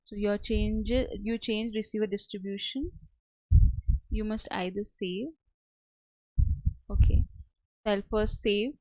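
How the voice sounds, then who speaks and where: A woman with a mid-pitched voice guides a listener through software step by step. Her delivery is halting and a little hesitant, like unscripted training. The sound is dry, with very little room in it. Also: steady tone, no echo, low-quality recording. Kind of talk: step-by-step software tutorial narration.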